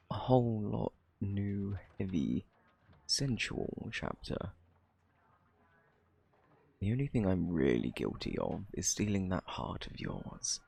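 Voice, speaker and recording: A man acts out a smug British thief in an intimate audio roleplay. His voice is low and husky, full of heavy creak. He takes his time, drawling out coy, flirtatious taunts. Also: a little mellow, heavily acted. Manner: teasing, drawling, theatrically coy. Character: smug, flirty male thief teasing a detective. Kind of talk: flirty ASMR roleplay acting.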